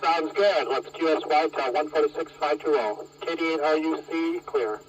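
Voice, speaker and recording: A man chatting casually and a little haltingly over two-way radio as an amateur operator. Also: a little high, highs cut off, crowd noise. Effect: heard over two-way radio. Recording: distant, noisy handheld radio transmission.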